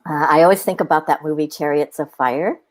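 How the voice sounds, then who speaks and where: A woman guest on a podcast, telling stories in a musing, reflective way with animated asides. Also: deep voice, fairly quick. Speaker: podcast guest, spiritual companion. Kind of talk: reflective conversation.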